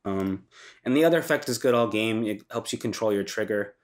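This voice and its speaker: A man's voice: on the low side, fairly quick. A young man with a stuffy, congested voice from a cold, hosting a casual walkthrough and talking loosely with ums and self-corrections. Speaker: channel host reviewing a card game deck.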